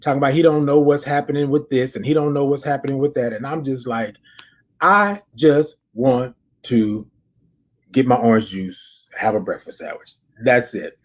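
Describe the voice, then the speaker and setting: A man's voice: medium pitch, normal speed. An American man hosting a casual podcast, chatting and telling stories straight to his listeners, repeating phrases for emphasis. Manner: addresses listeners directly as an audience. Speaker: podcast host. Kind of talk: casual chatty check-in and storytelling.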